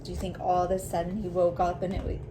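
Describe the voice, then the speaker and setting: A woman reading a children's picture book aloud like a teacher, in a deliberate way, pausing to reflect and ask questions. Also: on the low side, monotone, background noise.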